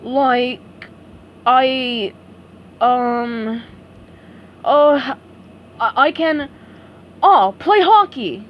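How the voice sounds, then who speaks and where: A woman voicing a dim, excitable male starfish friend, loud and dopey. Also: sits high, moderate pace, few pauses, dull audio, a little hiss or hum. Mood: excited.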